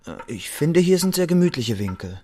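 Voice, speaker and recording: An actor playing an anxious, placating young newlywed husband in a radio play, eager, excitable and hesitant. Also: male voice, on the high side, a little fast, faint music behind.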